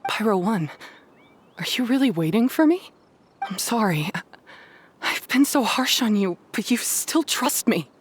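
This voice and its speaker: A proud young beast tamer from a video game, speaking earnestly to her creature. Her voice sits high and moves lively. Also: measured pace, pauses often, slight background noise. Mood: remorseful. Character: proud young woman fungus trainer, harsh but softening. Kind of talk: video game cutscene dub.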